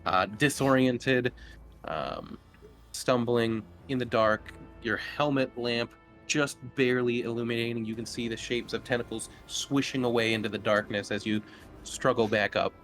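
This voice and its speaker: A man's voice: mid-range voice, normal speed, a bit bright. A man speaking as game master, narrating and explaining play in an easygoing, informal way. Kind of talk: casual tabletop game narration. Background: game music.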